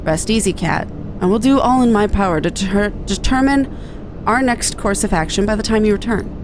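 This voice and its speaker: A woman's voice: medium pitch, moderate pace, noisy background, lightly acted. A woman voicing a bookish, earnest researcher with formal, precise speech in a game read-aloud. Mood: composed, lofty. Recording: music behind the voice.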